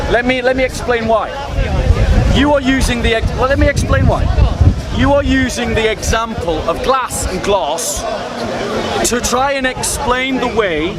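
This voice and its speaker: A man debating in public, pressing his point and repeating pointed questions. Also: extremely high pitch. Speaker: street debater. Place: outdoor public speaking spot. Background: crowd of onlookers.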